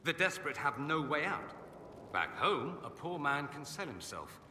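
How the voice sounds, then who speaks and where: An actor playing an urbane, witty, self-assured aristocrat mage in a video game, articulate, theatrical and sardonic. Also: male voice, on the high side, big pitch swings, normal speed, pushed and bright, full character voice. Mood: earnest, defensive. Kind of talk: video game dialogue acting. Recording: echoey hall with ambient noise.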